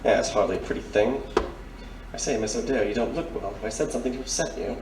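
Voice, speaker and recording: A man's voice: mid-range voice, fast, close to an everyday voice. A man playing a courteous, shrewd detective major in an audio drama, speaking formally and with polite insinuation. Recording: roomy, distant mic, faint noise.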